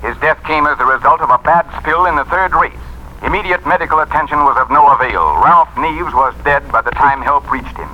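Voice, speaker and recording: A man's voice: on the high side, lively intonation, fairly quick, pauses often. A man playing a sports writer phoning in a sober, clipped, factual story in 1940s radio style. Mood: sober report. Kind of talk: 1940s radio acting, news report. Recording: muffled old radio, faint noise.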